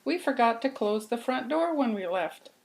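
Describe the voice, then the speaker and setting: A woman's voice: a little high, animated, normal speed, vocal fry, pitched above the performer's own voice. Papa mouse in a storybook, fussy and raised, voiced by a woman. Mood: worried.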